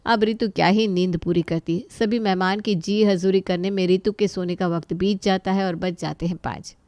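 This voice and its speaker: A woman's voice: medium pitch, steady tone, moderate pace, a little mellow, no echo. A woman narrating a scripted family story as its storyteller.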